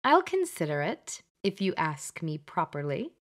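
A plainspoken young woman with a dry wit, as a woman narrator reads her. The voice stays close to the reader's own, on the low side. Her lines come out wry and plain. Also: sing-song, a little slow.

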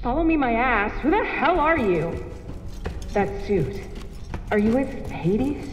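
A woman's voice: on the high side, big pitch swings, takes it slowly. An actress playing a defiant, foul-mouthed woman agent in a horror video game, blunt and confrontational. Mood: angry, demanding. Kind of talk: video game cutscene acting. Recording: long echoing reverb, noisy game ambience.

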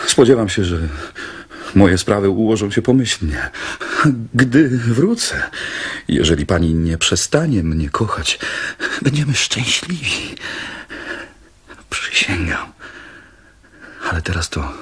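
A man playing a poor, courteous young lodger in love in a radio drama, halting, earnest and pleading. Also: lowish voice, husky, heavily acted.

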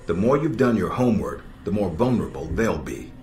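Deep-voiced, seasoned spy handler. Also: male voice, sing-song, a little mellow, a little hiss or hum. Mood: measured, instructive. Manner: measured, instructive, dryly blunt. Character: seasoned middle-aged male spy handler, calm, sardonic. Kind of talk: video game cutscene acting. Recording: roomy echo with music behind.

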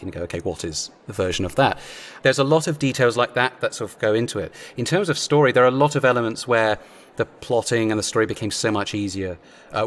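A man's voice: on the low side, a little hiss or hum. A man chatting casually as a writer on a panel, explaining things in a rambling way. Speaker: audio drama writer.

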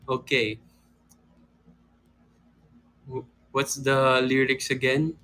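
A man's voice: mid-range voice, monotone, very slow, pushed and bright. A young man hosting a casual phone livestream from home, chatting with viewers and breaking into playful sung lines. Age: young adult. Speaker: livestream host. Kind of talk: casual livestream chat with singing. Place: home room indoors. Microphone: phone camera close up.

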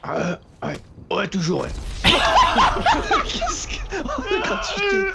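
A man's voice: very high-pitched, huge pitch swings, slow pace, creaky, heavy background noise. A man chatting casually with friends over game voice chat. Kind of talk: casual gaming banter. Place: voice chat during an online game.

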